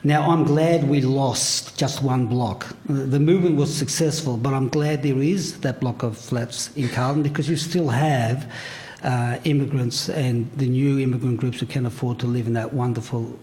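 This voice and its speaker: An older man from Australia talks through his memories in a halting way, full of "uh"s and repeated starts. His voice sits in a middle pitch. It is a casual panel talk, recorded at a public venue. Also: steady tone, darkish tone. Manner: halting, many fillers and restarts. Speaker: panel guest, writer.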